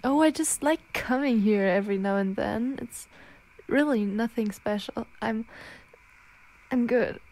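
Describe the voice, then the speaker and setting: A frightened young werewolf girlfriend, acted by a woman in an ASMR audio drama. Her voice is fairly high and she speaks very slowly, stammering and pleading as she tries to hold back her change. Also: a little mellow. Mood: nervous, evasive.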